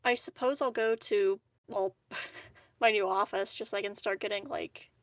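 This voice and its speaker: A woman's voice: medium pitch, fairly even intonation, a little slow, no echo, clean studio sound. A woman chatting casually as a player in an online tabletop game, speaking a little tentatively.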